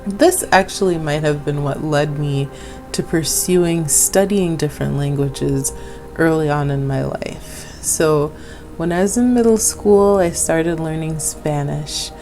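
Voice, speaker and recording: Slow, low-voiced woman vlog host. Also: soft background music. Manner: gentle, affirming, with small laughs. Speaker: channel host. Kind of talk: casual vlog talk.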